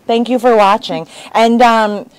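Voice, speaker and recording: A woman's voice: a little high, steady tone, a little slow, smooth, no room sound, low-quality recording. Woman, thirties, chatty, laughs a lot, live stream host, sewing studio. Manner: chatty, laughs often, jumps between topics. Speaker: sewing shop owner hosting a live stream. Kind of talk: casual live stream chat. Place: indoor sewing shop studio with bags on shelves.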